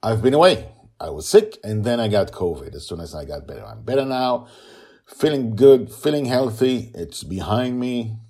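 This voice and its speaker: A man hosting a podcast, reading a deliberate, earnest intro. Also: mid-range voice, very animated, dark tone, slightly rough. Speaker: podcast host.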